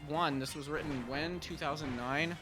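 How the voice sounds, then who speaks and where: A man's voice: fairly high pitch, moderate pace. Jokey man bantering over music. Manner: jokey, crude humour. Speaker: co-host of a story-reading show. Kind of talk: casual banter with friends.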